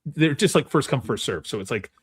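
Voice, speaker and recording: A man's voice: medium pitch, lively intonation, fairly quick, husky, no room sound. A man hosting a film trivia game, chatting casually and keeping score. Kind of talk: casual chat.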